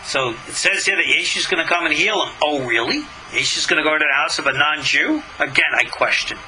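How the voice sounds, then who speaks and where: A man's voice: on the high side, brisk, sharp tone, slight background noise, rough recording. A middle-aged or older man, a rabbi giving a religious lesson, reading a text and commenting on it in an emphatic, skeptical, questioning way.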